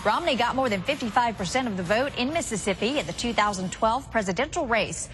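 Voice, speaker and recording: Woman news anchor, slightly high voice. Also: expressive, brisk, over music. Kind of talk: scripted news reading.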